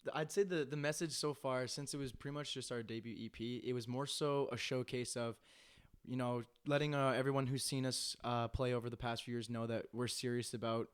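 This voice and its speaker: A man's voice: mid-range voice, steady tone, fairly quick, smooth. A young man, a band musician talking casually as an interview guest.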